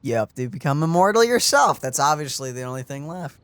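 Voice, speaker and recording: A man's voice: on the high side, big pitch swings, brisk, fairly bright tone. A man doing casual let's play commentary as a streamer, joking and rambling as he goes. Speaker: gameplay streamer. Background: music.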